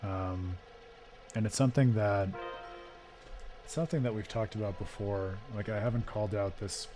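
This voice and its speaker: Hoarse low-voiced man streaming commentary. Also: steady tone, moderate pace, music in the background. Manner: thoughtful, digressive, with frequent restarts. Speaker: streamer and host. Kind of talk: live game-stream commentary and analysis.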